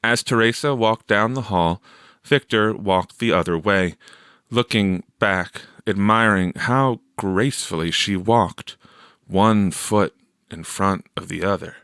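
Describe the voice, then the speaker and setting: Low-voiced, unhurried male story narrator. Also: fairly bright tone, clean tone, no room sound, clean studio sound. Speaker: audiobook reader.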